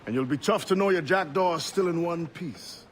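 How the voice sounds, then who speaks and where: A man's voice: on the high side, normal speed, a little hiss or hum, lightly acted. A man voicing a teasing, affectionate, loyal sailor comrade in a video game. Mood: fond.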